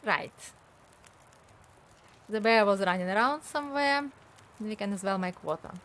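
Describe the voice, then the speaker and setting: Slow-talking older woman streamer. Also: medium pitch, lively intonation, fairly bright tone, slight background noise. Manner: chatty, talks to viewers, laughs often. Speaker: game streamer. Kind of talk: casual live-stream chat while gaming.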